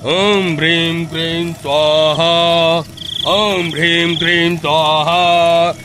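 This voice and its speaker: A man's voice: flat delivery, drawn-out words, background noise. A man voicing a pompous, bossy village sorcerer in a horror-comedy cartoon, imperious and given to chanting. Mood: solemn chanting. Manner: booming chants and imperious commands.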